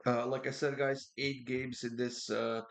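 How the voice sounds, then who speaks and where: A man's voice: mid-range voice, flat delivery. A man with a congested voice from a cold, giving casual soccer betting picks, with lots of fillers and repeats. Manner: halting, many uh fillers and repeats. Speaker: sports betting tipster. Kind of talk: casual betting preview talk.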